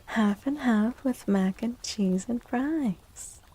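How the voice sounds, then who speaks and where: A woman livestream host chatting in a soft, gentle ASMR style close to the mic, drifting now and then toward a breathy whisper. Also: on the high side, slow, low-quality recording. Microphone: close microphone.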